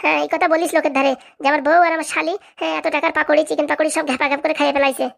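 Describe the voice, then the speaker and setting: Casting: woman to play domineering cartoon wife, high creaky voice, relentless scolding. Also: little pitch movement. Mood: sarcastic. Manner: scolding, accusatory, rapid-fire haranguing. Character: bossy, nagging, domineering wife of a village politician. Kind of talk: comic cartoon voice acting.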